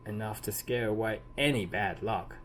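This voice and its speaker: A man reading a children's story aloud expressively, with deliberate emphasis and drawn-out key words. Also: fairly low pitch, a bit bright. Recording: clearly audible background noise.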